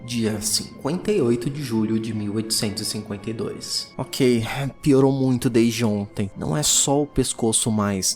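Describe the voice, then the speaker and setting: A Brazilian man playing a weary, haunted nineteenth-century occult expedition diarist, reading his horror journal gravely with slow pauses. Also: on the low side, lightly acted. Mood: alarmed, grim.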